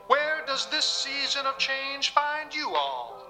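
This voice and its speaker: High, exuberant Southern radio preacher. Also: male voice, big pitch swings, slow, bright, forceful tone, soft background music, exaggerated voice. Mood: folksy, grandiose. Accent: American South. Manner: florid, theatrical, homespun oratory. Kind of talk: old-time gospel radio show hosting.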